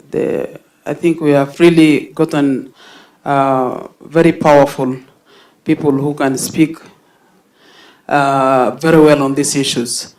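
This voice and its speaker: A man's voice: on the high side, a little mellow. A man asking a panel a long, searching question from the audience, circling back on his phrasing. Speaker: audience member. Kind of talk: conference question. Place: conference hall.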